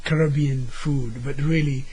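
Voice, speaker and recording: A man's voice: medium pitch, fairly even intonation, a little slow, dark tone, rough recording. Earnest man with faint hiss. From Senegal. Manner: earnest, searching for words. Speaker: guest. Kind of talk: casual interview talk.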